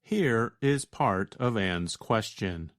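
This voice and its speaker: An American man with a fairly low voice teaches from a script. He speaks very slowly and deliberately, explaining each point in a measured way. The sound is dry, with hardly any room. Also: animated, no room sound. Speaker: teacher answering a listener's question. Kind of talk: slow scripted educational narration.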